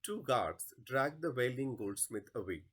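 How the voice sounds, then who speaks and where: Male teacher reading out stage directions from a play, takes it slow, really animated intonation. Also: mid-range voice, slightly rough. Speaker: English teacher reading aloud.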